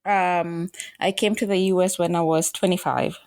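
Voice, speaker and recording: A woman in her forties from Kenya talks as a guest, thoughtful and halting, often pausing to find her words. Her voice sits low. The sound is dry and close. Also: fairly even intonation, darkish tone, no room sound. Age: 40s. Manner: reflective, halting with fillers and restarts. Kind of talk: casual interview talk.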